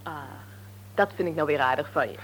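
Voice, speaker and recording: A woman playing a sharp-tongued, proper young woman in a Dutch radio play, prim and tart in manner. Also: low-pitched, fairly quick, breathy edge, low-quality recording. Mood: haughty, teasing. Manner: prim, tart, mock-formal.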